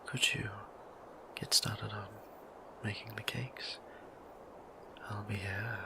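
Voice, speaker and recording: A man voicing a shy, tender vampire priest in an intimate romantic audio roleplay, speaking in a breathy, hesitant whisper with creaky edges. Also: on the low side, level tone, noisy background. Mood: playful, tender. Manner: hesitant, halting, gentle. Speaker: voice actor.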